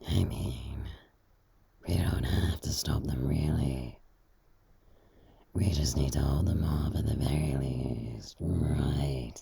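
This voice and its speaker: Menacing, very deep growling shade henchman. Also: slow, warm tone, full character voice. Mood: sinister, scheming. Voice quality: growling, exhaled breathy rasp. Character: menacing shade henchman serving a toxic villain.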